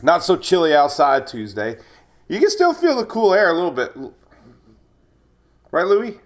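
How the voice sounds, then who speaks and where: A man's voice: high-pitched, big pitch swings, moderate pace. A man hosting a casual live weather stream, chatty, rambling and jokey, sounding slightly stuffed up with a cold as he greets viewers.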